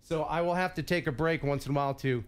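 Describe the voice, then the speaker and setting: A man's voice: a little high, fast talker, smooth. A man in his fifties with a head cold, hosting a casual live stream with upbeat emcee announcements and jokes. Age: 50s. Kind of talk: casual live show chat.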